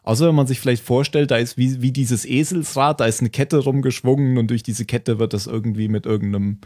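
A man's voice: medium pitch, fairly even intonation, fairly quick, dark tone, dry recording, clean studio sound. A man chatting casually as a podcast host recapping a TV episode, thinking aloud hesitantly and often chuckling.

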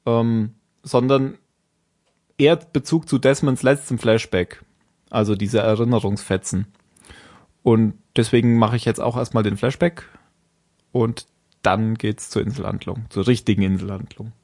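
A man's voice: lowish voice, fairly even intonation, measured pace, darkish tone. A man in casual podcast chat, walking through the story of an episode. He talks in a loose, meandering way, often stopping to fix what he just said. His delivery stays informal and unrehearsed. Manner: rambling, self-correcting, with frequent fillers and laughs. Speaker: podcast co-host.

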